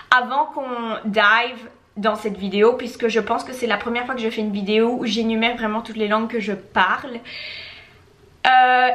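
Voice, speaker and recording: Young woman, casual vlog chat, slips into English, fairly high voice. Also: a bit bright. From Belgium. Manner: switches into English mid-sentence, many restarts. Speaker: language-learning YouTuber. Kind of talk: casual talking-head vlog.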